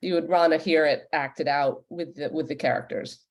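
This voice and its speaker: A woman's voice: low-pitched, steady tone, normal speed. A woman moderating a panel in a conversational way, thinking aloud and rephrasing her questions. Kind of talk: conversational interviewing.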